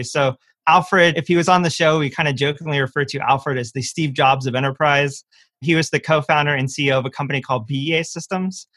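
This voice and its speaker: A man with a bright, forward voice at a middle pitch, recorded dry and close. He explains things conversationally as an interview guest, with enthusiasm and clear emphasis on key words. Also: dry recording. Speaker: venture capital partner, interview guest. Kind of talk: conversational self-introduction and explanation.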